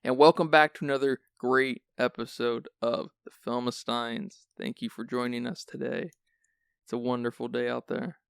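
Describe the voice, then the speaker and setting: A man's voice: medium pitch, steady tone, moderate pace, creaky, fairly bright tone, clean tone, no echo, studio quality. A man hosting a film podcast who sounds sleepy, chatting casually and rambling with restarts. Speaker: podcast host.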